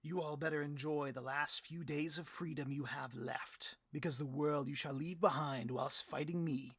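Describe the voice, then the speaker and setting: A bloviating, vain male supervillain boss for a comedic audio roleplay. The voice is slightly high and husky, recorded close and dry. He speechifies with theatrical grandeur and bristles petulantly. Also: fairly even intonation, moderate pace, a bit bright, dry recording. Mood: bombastic.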